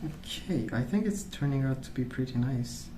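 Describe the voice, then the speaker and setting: A man speaking softly in a hushed, unhurried ASMR ramble, musing as he goes. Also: mid-range voice, animated, roomy sound, noisy background. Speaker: ASMR video host. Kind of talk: soft-spoken ASMR rambling while colouring.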